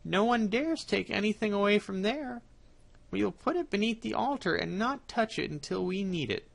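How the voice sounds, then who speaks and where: Woman putting on a sly, high-pitched tomcat. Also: animated, moderate pace, vocal fry. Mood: persuasive. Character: sly greedy male cat, smooth talker.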